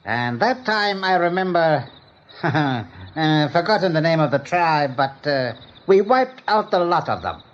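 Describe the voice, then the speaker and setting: Rabbit warlord: high voice, commanding, deliberate menace, vengeful pride, vintage radio drama sound. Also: male voice, big pitch swings. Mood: cold, superior. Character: ruthless, proud rabbit general, obsessed with vengeance. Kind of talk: 1980s radio play acting. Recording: dull vintage radio recording.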